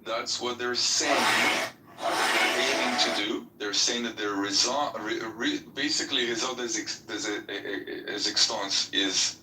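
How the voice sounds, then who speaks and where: A man's voice: medium pitch, measured pace. A man arguing assertively in a heated debate, with frequent restarts, recorded from a distance in an echoey space. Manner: assertive, argumentative, with many restarts. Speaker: debate participant. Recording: echoey room, distant from mic, faint noise.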